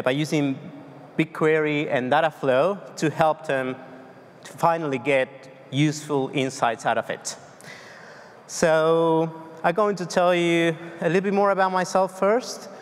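Spanish-accented man, 30s, conference speaker, slightly high voice, loose hesitant delivery, long hall reverb. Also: measured pace. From Argentina. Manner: loose, hesitant delivery with many fillers and restarts. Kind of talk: tech conference presentation. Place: large dark conference hall on stage.

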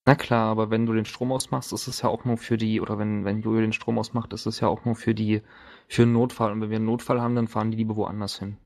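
A man's voice: lowish voice, flat delivery, fast, gravelly, darkish tone. A man talking casually and colloquially as a tabletop game master.

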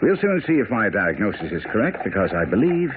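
Assured master detective, decisive, correcting, noisy narrow-band radio play. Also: male voice, on the low side, big pitch swings, brisk. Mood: corrective, confident. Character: brisk, self-assured English master detective. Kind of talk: radio drama acting.

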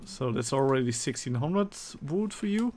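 A man's voice: mid-range voice, animated, moderate pace, a little mellow. A man with a Dutch accent chatting with viewers and teammates while streaming a game live, laughing often. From Netherlands. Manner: chatty, laughs often, reads chat aloud. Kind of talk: live gameplay chat.

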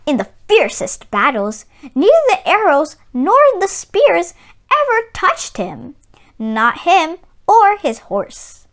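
Lady reading a kids picture book out loud, really slow and careful, very animated. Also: very high voice. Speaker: storyteller and read-aloud channel host. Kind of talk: children's picture book read-aloud.

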